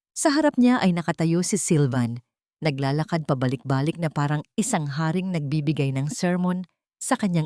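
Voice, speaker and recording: Low-voiced Filipino woman narrating, close and dry. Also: mellow, no echo. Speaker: story narrator. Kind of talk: scripted dramatic story narration.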